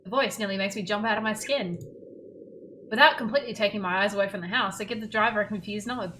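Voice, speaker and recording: A woman streamer reading a game's story aloud in an expressive storytelling manner. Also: medium pitch, quick pace, a little hiss or hum.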